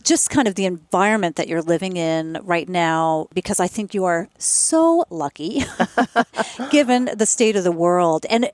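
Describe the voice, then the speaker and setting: A woman podcast host with a somewhat low voice, talking loosely and encouragingly with a guest in a home. The mic is close and dry, with little room sound. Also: no echo. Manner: warm, encouraging interviewer, loose and conversational. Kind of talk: casual interview chat. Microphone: corded microphone.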